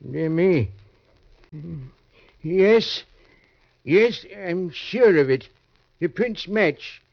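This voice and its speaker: An actor playing a timid, fussy little gentleman who hesitates and stammers, in an old-time radio drama. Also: fairly high pitch, no room sound. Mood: solemn, fluttery. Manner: hesitant, fretful, mumbling, stammering. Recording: dull, dry vintage radio recording.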